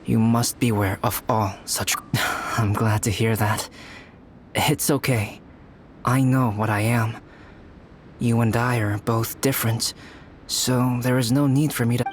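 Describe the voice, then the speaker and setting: An actor voicing a composed, thoughtful young male alchemist in a game, speaking in a calm, measured, soft-spoken way. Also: mid-range voice, a little hiss or hum, natural voice.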